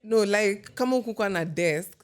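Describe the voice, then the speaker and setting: Kenyan girl in her late 20s on a podcast, talks fast, roasts the host. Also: mid-range voice, clean tone. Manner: teasing, quick comebacks, says "like" a lot. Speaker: podcast guest. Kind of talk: casual chat mixing English and Swahili.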